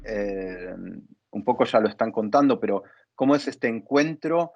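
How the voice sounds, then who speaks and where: A man interviewing in a conversational way, building long, halting, exploratory questions. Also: on the low side, animated, darkish tone, lo-fi sound, slight background noise. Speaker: interviewer, theatre dramaturge.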